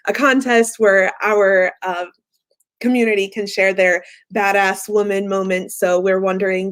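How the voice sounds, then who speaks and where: A young woman hosting a casual interview over a video call, asking long questions full of ums. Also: medium pitch. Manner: friendly, filler-heavy questions. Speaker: interview host. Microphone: laptop webcam, video call.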